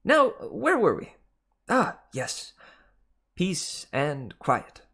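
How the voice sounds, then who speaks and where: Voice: medium pitch, huge pitch swings, very slow, a bit bright, slightly rough, voice pushed up, full character voice. A reader voicing a mischievous, worldly male god, chatty and teasing, in a storybook reading. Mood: breezy, chatty.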